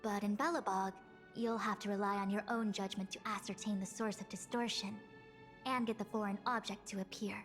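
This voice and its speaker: A woman plays an enigmatic, erudite diviner from a video game. The delivery is formal, measured and analytical, at a medium pitch. Long reverb surrounds the voice, with faint music behind. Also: fairly even intonation, fairly bright tone, natural voice. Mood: calm, matter-of-fact.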